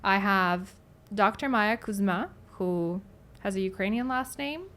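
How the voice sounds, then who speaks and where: A woman's voice: mid-range voice, measured pace, fairly bright tone. A woman from Moldova whose first language is Russian, hosting a podcast in a chatty, rambling way. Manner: chatty, rambling with frequent "like" and "you know". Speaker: podcast host. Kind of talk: casual interview chat.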